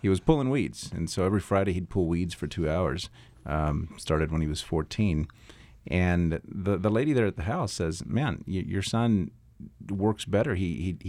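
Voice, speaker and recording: A middle-aged man co-hosting a casual talk show, speaking haltingly and repeating words. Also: deep voice, darkish tone, no echo. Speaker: show co-host.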